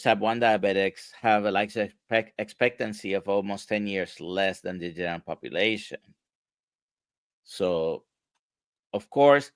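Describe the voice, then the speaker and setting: A man's voice: fairly low pitch, smooth, dry recording. A male doctor from Puerto Rico, explaining diet to an interviewer in an emphatic, conversational way with rhetorical questions.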